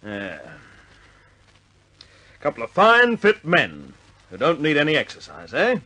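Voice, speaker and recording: Brisk, exasperated squadron commander, lively higher voice. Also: male voice, heavily acted. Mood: stern. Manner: clipped, barked orders. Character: brisk, exasperated RAF squadron commander, stern but wry. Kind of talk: radio drama acting.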